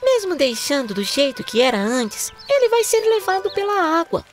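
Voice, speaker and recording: A woman's voice: very high-pitched, very animated, normal speed, faint music behind. A woman voicing a small, worried, childlike vehicle in a children's cartoon dub.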